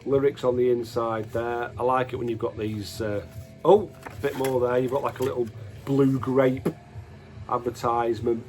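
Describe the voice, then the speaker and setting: A man's voice: medium pitch, little pitch movement, normal speed, a little mellow, soft background music, poor audio. A man giving casual, unscripted show-and-tell commentary as a host, in a hesitant, matter-of-fact way, often correcting himself. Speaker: host of a music collection video.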